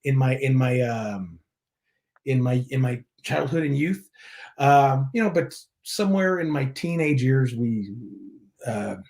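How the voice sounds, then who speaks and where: A man's voice: mid-range voice, lively intonation, unhurried, a little mellow. Chatty man from Mexico, restarts often. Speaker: church worship director, guest. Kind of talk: casual interview chat.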